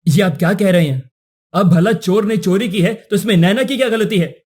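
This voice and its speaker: A man's voice: high voice, normal speed, darkish tone, husky. A man playing a principled young prince in a cartoon story, speaking earnestly and formally. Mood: defending.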